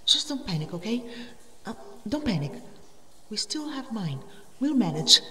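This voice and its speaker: A woman's voice: on the high side, big pitch swings, normal speed, pushed and bright. A woman playing a headstrong, curious cave explorer in an audio drama, speaking in an eager, goading, dramatic way. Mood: steadying. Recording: echoey cave-like reverb, distant, faint noise.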